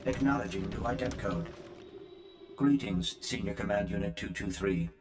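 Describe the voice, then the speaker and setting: A man voices an obedient security droid in a video game. The low male voice is formal and impersonal, reciting procedure. It echoes over loud music. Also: moderate pace, natural voice. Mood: flat, dutiful.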